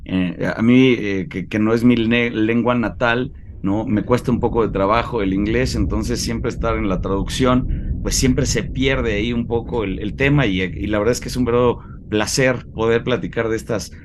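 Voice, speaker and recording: A man from Mexico with a Mexican Spanish accent, hosting a relaxed academic conversation over a video call, talking in a friendly way with many fillers and repeated words. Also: fairly low pitch, moderate pace, background noise. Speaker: host.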